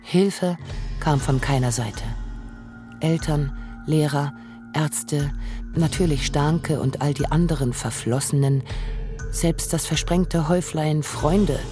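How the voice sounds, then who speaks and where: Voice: mid-range voice, takes it slowly, over music. A narrator reading scripted literary prose for a radio drama.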